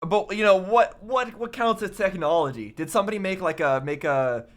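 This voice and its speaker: A man hosting a reaction video, commenting casually and haltingly, often wondering aloud in questions. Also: sits high, faint music behind. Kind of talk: casual, off-the-cuff commentary on game cutscenes.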